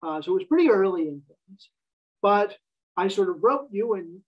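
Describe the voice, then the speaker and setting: A man's voice: high-pitched, lively intonation, normal speed, muffled recording. Wry American man in his fifties. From United States. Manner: wry, self-deprecating storyteller. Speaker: guest. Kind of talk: casual interview conversation.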